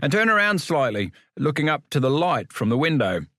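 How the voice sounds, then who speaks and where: A man voicing a polite young male newspaper photographer who speaks briskly. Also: on the high side, sing-song, bright, forceful tone, no echo, studio quality, voice pushed up. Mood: courteous.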